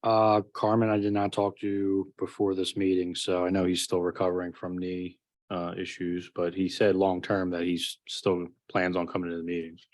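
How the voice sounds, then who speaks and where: A man's voice: low-pitched, monotone, normal speed, a little mellow. A man giving a formal, matter-of-fact report of fire company call numbers at a township meeting. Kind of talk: reading a report at a public meeting.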